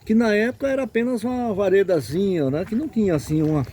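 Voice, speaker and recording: A man's voice: high-pitched, fast talker, warm tone, slight background noise. A man with a Northeastern Brazilian accent explaining local history off the cuff, in a didactic way with frequent check-in tags. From Brazilian Northeast, backlands of Sergipe.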